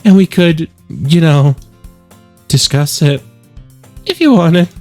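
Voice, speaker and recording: Man doing a shy high-voiced bookish schoolgirl. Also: animated, slow, a little mellow, soft background music. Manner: hesitant, trailing off. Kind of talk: visual novel character read-aloud.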